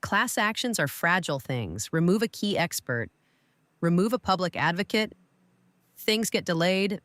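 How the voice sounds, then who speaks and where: A gracious older lawyer. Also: female voice, on the low side, animated, moderate pace, a bit bright, dry recording. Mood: calm. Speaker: retired lawyer. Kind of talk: audio drama acting.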